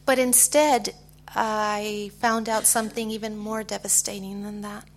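A middle-aged woman with an American accent giving a personal testimony in short phrases, pausing for an interpreter. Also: medium pitch, little pitch movement. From United States. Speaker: guest speaker giving testimony.

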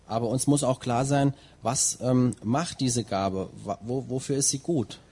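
A man interpreting a sermon phrase by phrase, and at times reading a long scripture passage at a steady pace. His mid-pitched voice has a husky grain. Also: measured pace. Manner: translates line by line, with some fillers and restarts.

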